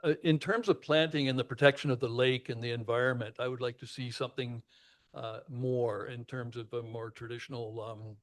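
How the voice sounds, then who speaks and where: Councillor guy at a meeting, average voice, slow and careful, lots of uhs. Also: mid-range voice, steady tone. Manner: measured, hesitant, with fillers. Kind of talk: council deliberation. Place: council chamber.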